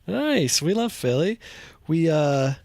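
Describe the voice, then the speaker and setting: A man's voice: a little high, sing-song, takes it slowly, gravelly, darkish tone, a little hiss or hum. A man co-hosting a live stream, chatting casually and reading viewer comments in an easygoing, agreeable way.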